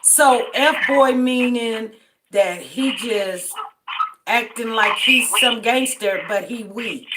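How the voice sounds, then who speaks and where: A woman calling in by phone, speaking slowly in short replies and questions. Her voice is higher than usual and comes through with a loud hiss on the line. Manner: brief backchannel replies and questions. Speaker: caller. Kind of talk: casual phone chat.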